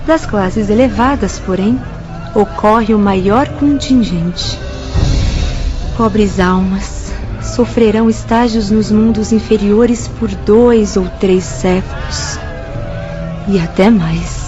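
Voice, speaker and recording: An actress playing a grave, moralizing nun who guides students, solemn and didactic in a radio drama style with a Brazilian accent. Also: female voice, mid-range voice, dull audio, over music, natural voice. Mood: stern, pitying. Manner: solemn, didactic, deliberate emphasis. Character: grave, moralizing nun guiding spirit students through asylum.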